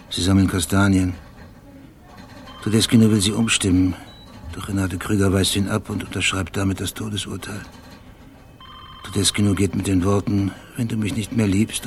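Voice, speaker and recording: An actor playing an obsessive police detective in a German radio drama, recounting things in a measured, deliberate, terse way. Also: male voice, fairly low pitch, creaky. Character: obsessive male police detective, precise brooding narrator haunted by memory.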